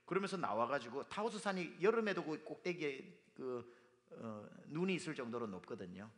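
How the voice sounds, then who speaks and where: A man preaching a sermon as pastor, his voice hoarse from dust, speaking emphatically. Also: medium pitch, big pitch swings, normal speed. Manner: emphatic, with sung and quoted lines. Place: church sanctuary. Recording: long reverb of a hall.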